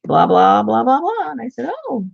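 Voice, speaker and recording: Gravelly woman chatting while painting. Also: a little high, big pitch swings, moderate pace, dull audio. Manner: chatty, rambling, mutters to herself while working. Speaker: art tutorial host. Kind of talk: casual chat while painting.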